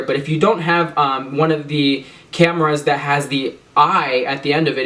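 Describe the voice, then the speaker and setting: A man's voice: on the high side, a bit bright, far from the mic. A young man recovering from a cold, chatting casually to camera about his filming gear from a small home office, with lots of "you know" and "um". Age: young adult. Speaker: YouTube vlogger.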